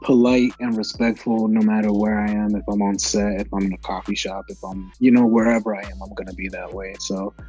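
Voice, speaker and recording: Mellow-voiced young man, relaxed chat. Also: lowish voice, fairly quick, few pauses, husky, faint music behind. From Massachusetts. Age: 20s. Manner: relaxed, many fillers and restarts. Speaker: actor guest. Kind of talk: casual interview answers.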